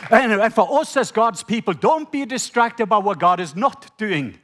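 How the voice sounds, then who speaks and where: Man, Norwegian accent, middle-aged, preacher, slightly high voice, call-and-response sermon. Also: expressive, fairly bright tone, a faint crowd, slight background noise. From Norway. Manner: slows down when reading scripture, prompts the audience to repeat words.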